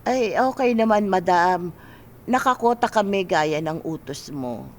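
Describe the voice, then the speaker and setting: A woman's voice: on the low side, expressive, a little slow. A woman playing a timid, conscience-stricken worker in a radio drama, hesitant and deferential. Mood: respectful.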